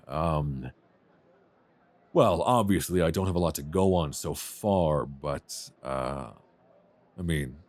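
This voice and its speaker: A man's voice: deep voice, a little mellow, no echo, heavily acted. A man playing a chatty, easily flustered, flirtatious airline passenger in a romance roleplay audio, rambling and hesitating in a self-deprecating way. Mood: flustered, flirty. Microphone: close binaural microphone.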